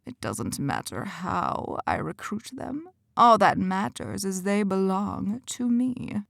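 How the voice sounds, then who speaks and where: Woman putting on a slow, menacing demon leader. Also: very animated, creaky, dry recording, clean studio sound, full character voice. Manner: slow, low, quiet taunting. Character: menacing male demon leader, smug and cold.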